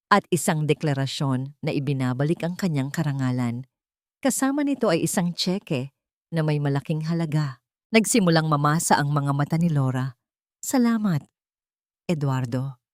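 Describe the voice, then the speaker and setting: A woman reading a dramatic audio story with an expressive narrating voice. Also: sits low, no echo, studio quality.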